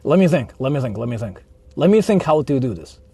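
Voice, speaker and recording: A man's voice: mid-range voice, very animated, fairly quick, gravelly, warm tone, husky. A man chatting casually on a livestream from inside a car, rambling, repeating his phrases and swearing freely. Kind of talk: casual IRL livestream chat while driving. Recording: noisy car interior. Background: vehicle noise.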